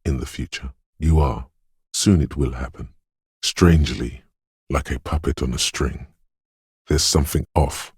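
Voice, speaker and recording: A man voicing a detective's eerie, dreamy intuition in a video game, narrating in a husky, hushed, ominous and poetic way. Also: a little slow, dry recording, clean studio sound, full character voice. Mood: searching, uncanny. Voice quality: husky, gravelly bass. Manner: hushed, ominous, poetic, lingering on short phrases. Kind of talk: atmospheric second-person video game narration.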